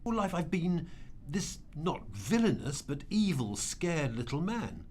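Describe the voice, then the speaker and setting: A man voices a privileged man burdened with guilt in a radio play. He speaks earnestly and emphatically, pleading his case with very lively pitch. There is faint noise and ambience behind him. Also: measured pace. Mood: anguished, defiant. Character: guilt-ridden wealthy dead man, earnest and impassioned. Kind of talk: radio drama acting.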